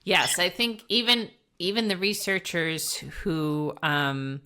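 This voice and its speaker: Deep, bright-toned woman podcast host. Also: fairly even intonation, slow pace. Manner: affirming, summarizes the guest's points. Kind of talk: casual podcast interview.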